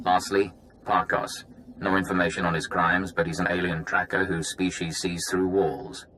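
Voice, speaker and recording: Brisk military intel operative briefing for a game, deep hard-edged voice. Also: male voice, level tone, fairly bright tone, a little hiss or hum, poor audio. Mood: matter-of-fact. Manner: brisk, matter-of-fact. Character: businesslike male infiltration operative giving recorded briefing. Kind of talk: video game briefing narration.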